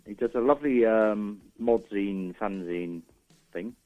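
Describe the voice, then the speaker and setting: Male musician as radio guest, lowish voice, hesitant and informal, slightly muffled lo-fi sound. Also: measured pace, faint music behind. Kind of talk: casual radio interview chat.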